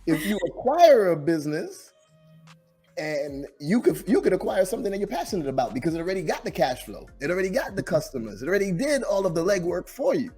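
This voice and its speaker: A man from Jamaica hosting a business podcast, talking in a lively, encouraging way and often restarting his sentences. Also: high voice, fast, soft background music. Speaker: podcast host. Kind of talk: casual interview.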